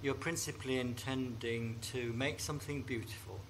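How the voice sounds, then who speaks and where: A man, a writer on a panel, answering carefully and deliberately, slowing down to stress key phrases. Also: mid-range voice, steady tone, slight background noise. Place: festival stage before a live audience.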